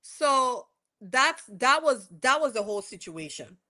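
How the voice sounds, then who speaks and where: High, bright-voiced West Indian woman. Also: sing-song, a little slow. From West Indies. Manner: rambling, self-correcting, chatty. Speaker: livestream host. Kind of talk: casual chat recapping court testimony.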